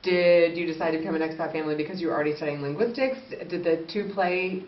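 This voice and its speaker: A woman's voice: fairly low pitch, fast. A woman hosting an interview, asking questions in a friendly, chatty way and laughing easily. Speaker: interviewer, host. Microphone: far from the mic. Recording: distant, echoey, muffled with hiss.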